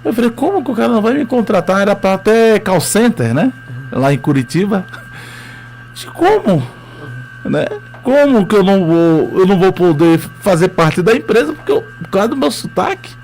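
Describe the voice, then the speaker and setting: Earnest Northeastern Brazilian teacher. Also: male voice, sits high, very animated, moderate pace, darkish tone, faint music behind. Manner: hesitant, many fillers, earnest. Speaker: history teacher, interview guest. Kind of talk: radio interview, conversational storytelling.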